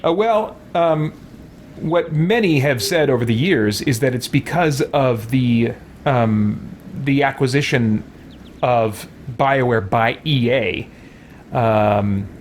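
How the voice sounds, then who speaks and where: Guy streaming a game, chatty and rambly, thinking out loud, some noise behind him. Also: mid-range voice, takes it slowly. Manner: rambling, thinking aloud, conversational. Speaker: streamer, let's play host. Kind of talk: casual gameplay commentary, reading and answering chat.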